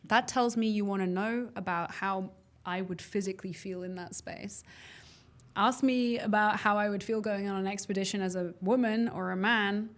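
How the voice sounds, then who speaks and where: A woman artist in a casual discussion, emphatic and precise, quick to laugh. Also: mid-range voice, steady tone.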